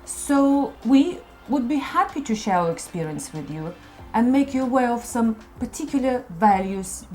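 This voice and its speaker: A woman's voice: on the high side, dark tone. A woman with a Russian accent, narrating a scripted explainer about English customs in a friendly, explanatory way. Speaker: co-host teaching about English culture.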